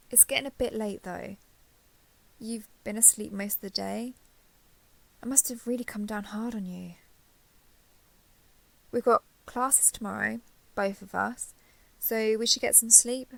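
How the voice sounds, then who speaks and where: Woman playing a gentle, creaky-voiced giant dragon girl. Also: fairly high pitch, steady tone, a little slow, long pauses, dry recording. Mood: tender, caring. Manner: gentle, reassuring, hesitant. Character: caring, gentle giant dragon girl, college student, slightly possessive. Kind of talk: roleplay audio acting, addressing the listener intimately.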